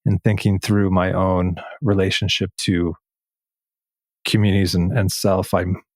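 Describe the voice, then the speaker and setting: A man interviewing in a reflective, measured way, halting and pausing between short phrases. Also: low-pitched, flat delivery, no echo. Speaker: podcast host, interviewer. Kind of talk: reflective interview conversation, some reading aloud.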